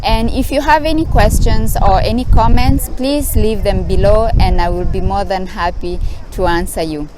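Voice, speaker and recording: A woman from Kenya, sitting on rocks outdoors and giving casual vlog advice in a hesitant way, pausing often with fillers. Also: mid-range voice. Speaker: vlogger. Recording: outdoor recording with traffic noise.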